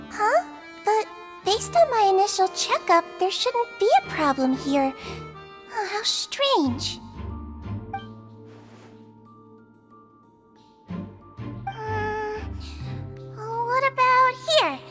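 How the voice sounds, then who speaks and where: A woman playing a small, gentle, childlike medic creature in a game dub, earnest and reassuring in a measured way. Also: very high-pitched, full character voice. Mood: focused, puzzled. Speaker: prison medic. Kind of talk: English game dub acting.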